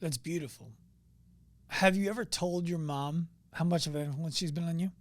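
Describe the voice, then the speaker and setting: Higher-voiced male host, dry sound. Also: normal speed, no room sound. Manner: brief interjections and short questions. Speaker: podcast host. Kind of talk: interviewing a guest.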